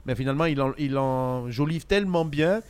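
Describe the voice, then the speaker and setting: A man making his point in a talk show debate, measured and halting with fillers. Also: mid-range voice, a little mellow. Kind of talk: casual debate. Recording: music clearly playing behind.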